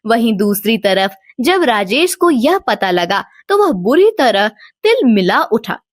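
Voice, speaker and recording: A woman's voice: on the high side, sing-song, takes it slowly, no echo, clean studio sound. A woman storyteller giving scripted narration of a moral tale.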